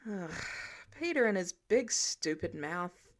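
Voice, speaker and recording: A woman's voice: on the low side, very animated, slow. A woman reading scripted fiction narration as an audiobook narrator. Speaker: podfic narrator.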